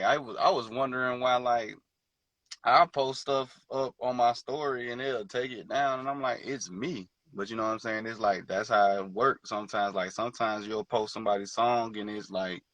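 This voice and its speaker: A relaxed man talking loosely over a video call, full of slang and filler phrases. His voice sits low yet comes across bright and forward. The sound is dry and close. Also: no echo. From Detroit and Georgia, born in Hawaii. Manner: laid-back, slangy, many fillers and "you know what I'm saying". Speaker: guest musician. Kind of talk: casual interview chat.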